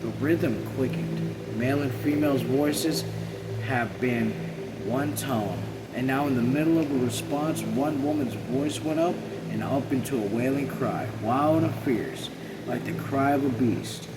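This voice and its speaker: A man reading a novel aloud as an amateur, haltingly, often rereading and correcting himself. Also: mid-range voice, heavy background noise. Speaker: amateur reader.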